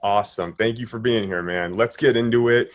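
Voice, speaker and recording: A man's voice: fairly low pitch, brisk. Upbeat man hosting an interview. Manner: friendly, upbeat, casual. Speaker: host. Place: indoor living room, video call.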